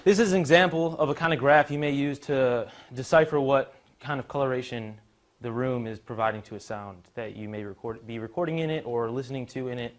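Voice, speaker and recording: A man's voice: mid-range voice, fairly quick. A young man from the Chicago suburbs giving an informal, conversational student presentation, explaining technical material. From Chicago suburbs, USA. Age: young adult. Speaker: university student presenter, musician and drummer.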